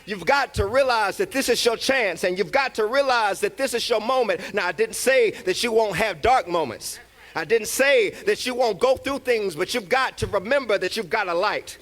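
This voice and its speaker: A man's voice: sits high, normal speed, a bit bright. A man in his thirties giving a motivational address to graduates, hollering emphatically with great confidence. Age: 30s. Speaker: commencement speaker.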